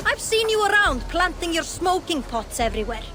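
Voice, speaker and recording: High-voiced, blunt Norse villager. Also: female voice, very animated, background noise. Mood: scolding, firm. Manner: brisk, scolding, matter-of-fact. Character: blunt, bossy, devout Norse village woman. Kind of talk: dramatic video game acting.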